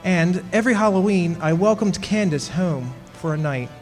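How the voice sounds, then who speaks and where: An actor playing a wry, centuries-old henpecked husband of a witch in a radio play, telling his story in measured dramatic style. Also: sits high, lively intonation. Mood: wry, reminiscing. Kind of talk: dramatic first-person radio play narration. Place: stage performance in a community club hall. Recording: echoey hall, music behind.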